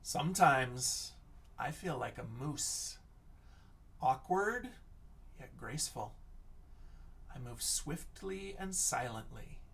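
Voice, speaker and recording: A man teacher reading a picture book aloud and chatting to children, expressive, often dropping into hushed whispers. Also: mid-range voice, slow, gravelly, pauses often, recorded from a distance.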